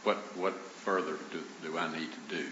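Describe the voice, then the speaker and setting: Deep-voiced judge, terse and questioning. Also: male voice, steady tone, moderate pace. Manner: terse, questioning, repeats words. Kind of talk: presiding over a hearing. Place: courtroom. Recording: roomy, dull courtroom recording with hiss.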